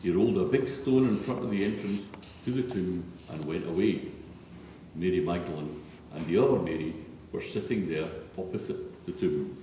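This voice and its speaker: A man's voice: low-pitched, normal speed, dark tone. A man narrating scripture solemnly as a reader in a church. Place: large echoey church interior. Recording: distant, very echoey church, faint noise.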